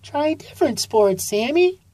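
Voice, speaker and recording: A woman's voice: high-pitched, very animated, moderate pace, a little mellow, voice pushed up. A woman voicing an encouraging, practical mother sloth in a children's storybook, with a lilting, coaxing manner.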